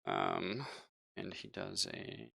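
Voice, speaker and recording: Low, bright-voiced casual game master guy. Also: huge pitch swings, slow pace, vocal fry, no echo, clean studio sound. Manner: casual, slangy and profane. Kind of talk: narrating a live tabletop roleplaying game.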